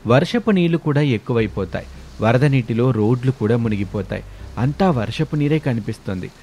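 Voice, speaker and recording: A man reads a scripted story as its narrator. His voice sits at a medium pitch, with slight noise behind it. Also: lively intonation, a little fast, mellow.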